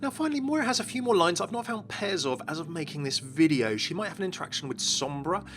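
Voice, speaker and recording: A man's voice: fairly high pitch, a bit bright. A man presenting game lore as an enthusiastic scripted video narration. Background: music.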